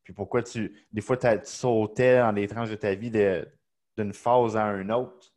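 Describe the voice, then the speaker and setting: A man's voice: fairly low pitch, steady tone, a little slow, darkish tone. A man hosting a casual podcast, eager and chatty with many fillers. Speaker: podcast host.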